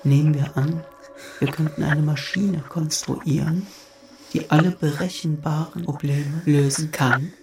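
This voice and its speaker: Male mathematician, creaky highish voice, didactic and measured, radio drama, roomy echo. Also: fairly even intonation, background noise. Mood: expounding. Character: mathematician expounding his imagined computing machine. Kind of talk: radio drama monologue, lecturing. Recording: roomy echo with faint music.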